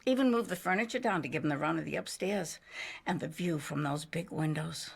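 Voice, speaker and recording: An actress playing a weary, haunted older American widow in a game cutscene, telling her story wistfully in a husky voice that often drops to a breathy hush. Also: low voice, heavily acted. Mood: wistful, bitter. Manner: slow-burning, wistful storytelling. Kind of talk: dramatic game cutscene monologue.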